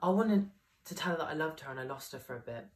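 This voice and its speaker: A man's voice: on the high side, lively intonation, quick pace, pitched below the performer's own voice, close to an everyday voice. A young man playing a rambling party-boasting lad. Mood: wistful. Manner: rambling storytelling full of "like". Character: excitable young man recounting a wild party. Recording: voice picked up from a distance.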